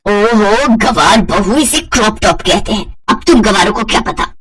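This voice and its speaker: A woman's voice: mid-range voice, animated, measured pace, distant mic, crowd noise, close to an everyday voice. A woman voicing a haughty, shameless middle-aged mother-in-law in a moral story, snooty and dismissive. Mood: haughty, defiant.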